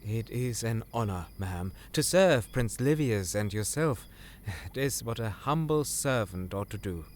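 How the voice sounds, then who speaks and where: A man's voice: fairly low pitch, lively intonation, measured pace, slight background noise. A man playing an earnest, deferential scholar serving royalty in a fantasy audio drama, formal and slightly hesitant. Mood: humble, formal.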